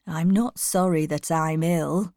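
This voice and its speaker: Woman voicing a gentle, slow old workhorse. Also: low voice, steady tone, no room sound, studio quality. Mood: resigned, hopeful. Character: gentle, ailing, hopeful old male workhorse.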